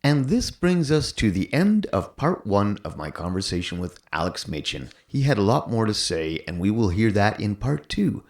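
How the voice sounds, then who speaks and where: A man's voice: lowish voice, very animated, normal speed, few pauses. A man hosting a podcast, interviewing in a conversational style.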